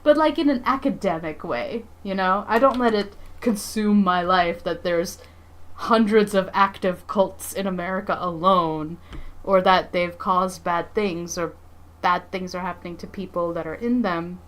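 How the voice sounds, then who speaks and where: A woman's voice: on the low side, unhurried. A woman livestream host chatting casually, thinking aloud in a rambling, emphatic way. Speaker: livestream host, comic artist.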